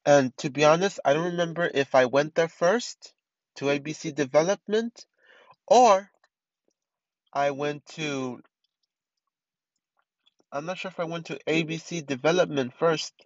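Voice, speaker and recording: A man's voice: fairly high pitch, a little slow, long pauses, no echo, muffled recording. A man in his twenties hosting a casual solo podcast, rambling with many restarts and fillers. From East Los Angeles. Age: 20s. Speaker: podcast host.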